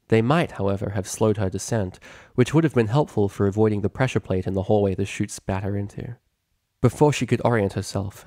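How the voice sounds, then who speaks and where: A man's voice: lowish voice, darkish tone, dry recording, studio quality. A man reading a story aloud as a scripted narrator. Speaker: fan fiction reader.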